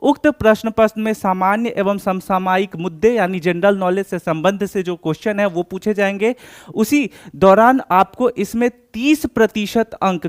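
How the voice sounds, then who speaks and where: A man explaining things step by step like a coaching instructor, often checking that students follow. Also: high voice.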